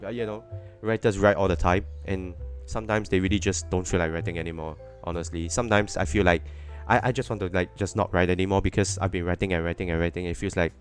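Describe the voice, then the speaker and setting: A deep-voiced man with a Singaporean English accent, talking casually on a podcast. He rambles, repeats himself and leans on "you know". Faint music sits low in the background. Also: steady tone, fast talker. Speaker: podcast guest.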